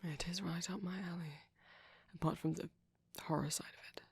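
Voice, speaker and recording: A man voicing a shy, gentle, nervous vampire boyfriend in an intimate ASMR roleplay, speaking softly and often whispering, hesitant and rambling, close to the microphone. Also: on the high side, fairly even intonation, a little fast, no echo, exaggerated voice. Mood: anxious, earnest. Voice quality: soft, breathy, often whispering.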